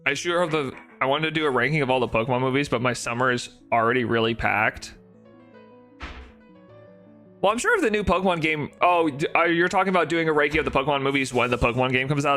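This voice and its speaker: A man with a nasal voice chatting casually on a live stream, rambling and excitable, with faint music behind him. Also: mid-range voice. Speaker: shiny-hunting live streamer.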